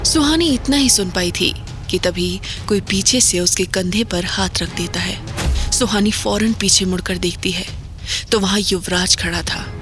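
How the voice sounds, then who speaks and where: A woman in a middle register tells a horror audio drama in a steady, measured way and builds suspense line by line. Music plays beneath her voice throughout. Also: mid-range voice. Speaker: narrator. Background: background music.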